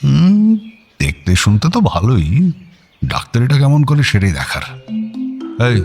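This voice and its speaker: A man playing a stern, sceptical older uncle who quizzes gruffly in an audio drama. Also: mid-range voice, huge pitch swings, a little slow, over music.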